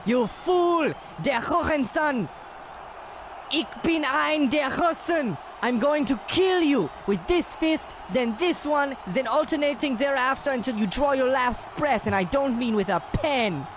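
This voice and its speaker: A man's voice: extremely high pitch, lively intonation, measured pace. A man playing an irate, melodramatic German boxer in a comedic old-time radio play, exclaiming bombastically. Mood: furious. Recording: noisy background ambience.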